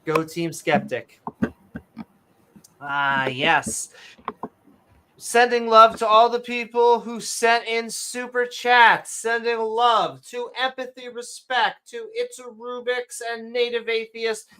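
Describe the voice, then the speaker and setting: A man co-hosting a casual late-night podcast, rambling and jumping between topics, his voice going hoarse. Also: very high voice, slow pace, pushed and bright. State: losing his voice. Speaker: podcast co-host.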